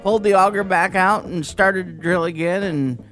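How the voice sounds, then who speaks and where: A man's voice: sits high, moderate pace, fairly bright tone, faint music behind, low-quality recording. A man doing Stinky, a chuckling folksy old fisherman. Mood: jokey. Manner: chuckling, rambling storyteller. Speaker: comic segment host.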